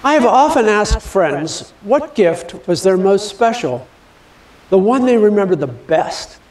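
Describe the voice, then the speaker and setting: A man in his seventies reading a personal holiday reminiscence in a measured, deliberate storytelling manner with dramatic pauses. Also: sits high, darkish tone, recorded from a distance, rough recording. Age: 70s.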